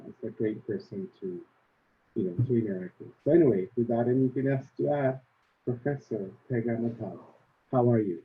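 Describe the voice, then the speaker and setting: A man hosting an interview over a video call, friendly and upbeat, with many fillers. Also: fairly low pitch. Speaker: host, interviewer. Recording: tinny phone-like call audio.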